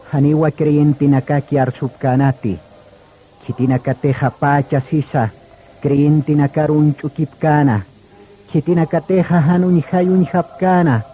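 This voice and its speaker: A man narrating a scripted religious radio drama in a solemn, deliberate manner. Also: mid-range voice, dark tone, a little hiss or hum, rough recording. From Bolivia.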